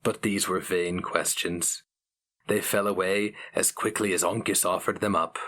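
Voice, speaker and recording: A man narrating a fantasy story as a dramatic reading, grave, measured and theatrical. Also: low voice, steady tone, a bit bright. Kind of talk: dramatic scripted fiction narration.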